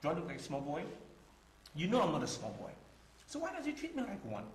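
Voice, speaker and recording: An actor playing a proud, easily wounded young boyfriend in a screen drama, with an emphatic, repetitive way of talking. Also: male voice, fairly high pitch, huge pitch swings, fast, background noise. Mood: indignant. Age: young adult. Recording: roomy echo, faint music underneath.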